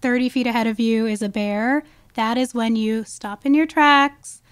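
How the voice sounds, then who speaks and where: A woman's voice: on the high side, steady tone, normal speed, smooth, dry recording. A woman speaking as an expert guest in a conversational podcast interview, explaining things with emphasis. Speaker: guest, wildlife ecologist.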